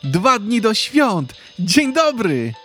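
An eager little boy who bubbles over with excitement, his voice jumping high and bright as a man puts him on. He swings widely in pitch. Soft guitar music plays behind him. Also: takes it slowly, full character voice. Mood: excited. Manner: high, bouncy, exclaiming.

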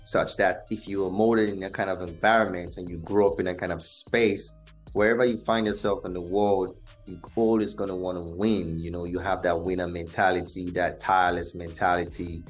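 Deep-voiced Nigerian man, earnest. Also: steady tone, moderate pace, soft background music. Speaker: guest musician. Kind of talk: interview conversation.

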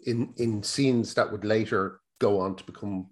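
A man's voice: fairly low pitch, fairly even intonation, moderate pace. Irish man, middle-aged, casual interview guest, rambling anecdotes, wry self-deprecation, restarts. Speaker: comedy writer, guest.

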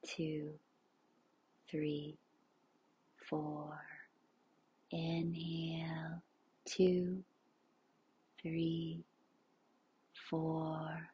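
A woman leading a guided relaxation as a yoga nidra instructor, soothing and unhurried, in a hushed, breathy voice that is often near a whisper. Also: low voice, completely flat delivery, slight background noise. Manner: soothing, unhurried, spacious pauses.

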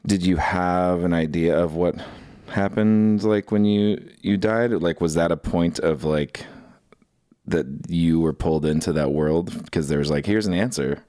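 A man hosting a podcast, reading a scripted introduction and then asking questions in a relaxed, friendly way. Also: deep voice, measured pace. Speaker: podcast host.

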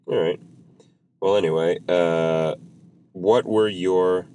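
Deep-voiced man with a cold. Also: steady tone, slow pace, mellow, slight background noise. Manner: hesitant, many ums and restarts. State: has a cold, voice a bit off. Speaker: podcast co-host. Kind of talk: casual chat.

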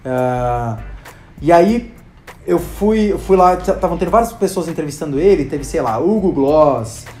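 Man, Brazilian accent, casual storyteller, lots of fillers, mellow voice, roomy echo. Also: on the high side, expressive, unhurried. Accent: Brazilian Portuguese. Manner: chatty, many fillers, acts out quotes. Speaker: interview guest, video creator and hospital clown.

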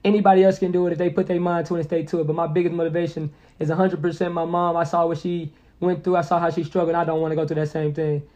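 A man's voice: fairly high pitch, flat delivery, rapid-fire, few pauses, darkish tone, dull audio. A man in his early twenties from Indianapolis, a podcast guest talking casually and earnestly, repeating himself for emphasis and using plenty of slang. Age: 20s. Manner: earnest, motivational, repeats phrases with lots of slang.

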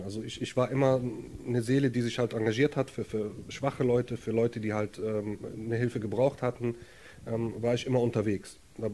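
A man's voice: mid-range voice, mellow, a little hiss or hum. Earnest man, native Arabic speaker. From Lebanon. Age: 30s. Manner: earnest, hesitant, searching for words. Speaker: interview guest. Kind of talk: casual interview. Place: his apartment.

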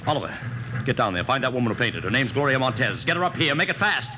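An actor playing an urgent, pleading publicity agent in a 1950s radio drama. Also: male voice, mid-range voice, sing-song, fast, lightly acted. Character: fast-talking male publicity agent, desperate. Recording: noisy old radio broadcast.

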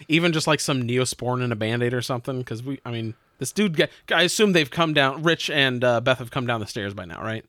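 A man's voice: medium pitch, animated, brisk, hardly any pauses, fairly bright tone, no echo. Casual man at a tabletop game, dry sound. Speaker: player in a tabletop role-playing game. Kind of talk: casual chat.

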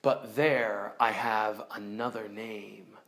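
A man voicing a majestic, loving lion from a storybook, gently and solemnly. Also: fairly low pitch, steady tone, slow, pitched below the performer's own voice. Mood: gentle, solemn.

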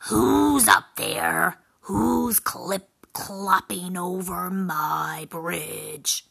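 A huge, ill-tempered troll from a children's story. The voice is low and very gravelly, with a husky, forceful edge. Lines come out in a slow, booming growl. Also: female voice, heavily acted. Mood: menacing, angry. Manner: slow, loud, booming delivery. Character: big, terrifying, grumpy troll under a bridge.

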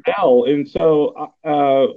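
A man's voice: a little high, slow pace, vocal fry. A man in his forties from Texas, hosting a casual podcast chat and rambling with many fillers and restarts. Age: 40s. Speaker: podcast host. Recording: tinny phone-like line.

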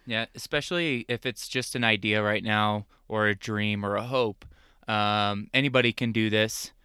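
Bright joking man podcaster. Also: on the low side, fairly even intonation, moderate pace, clean tone, no room sound, clean studio sound. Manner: joking, laughs often. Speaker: podcast co-host. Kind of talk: casual chat.

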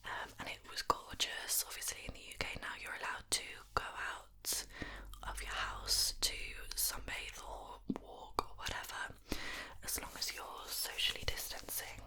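Softly whispering woman, slightly nasal. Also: low-quality recording. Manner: soft whisper, chatty, many "um"s. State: slightly nasal from hay fever. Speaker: beauty YouTuber. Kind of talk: whispered ASMR get-ready-with-me chat.

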